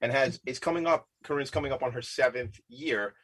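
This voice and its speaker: A middle-aged man hosting a radio interview show, talking quickly in a rambling, self-interrupting way and repeating words. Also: mid-range voice, fairly bright tone, husky, low-quality recording. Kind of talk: casual interview chat.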